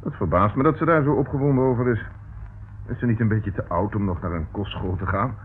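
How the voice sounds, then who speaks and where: An actor playing a polite, probing young police inspector in a radio drama, speaking in a courteous, measured way. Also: male voice, fairly low pitch, natural voice. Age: young adult. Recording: narrow tinny old radio sound, faint hiss.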